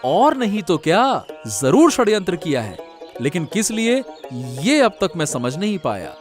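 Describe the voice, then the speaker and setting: A man's voice: high-pitched, sing-song, measured pace, faint music behind. A man voicing an earnest, emphatic village samosa seller, a wronged and devoted son, in a Hindi moral-story cartoon. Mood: indignant, determined.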